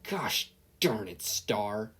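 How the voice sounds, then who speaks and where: A performer voicing a weary, exasperated male president with a slow, groaning delivery in an audio story. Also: mid-range voice, sing-song, fairly bright tone, slightly rough, exaggerated voice.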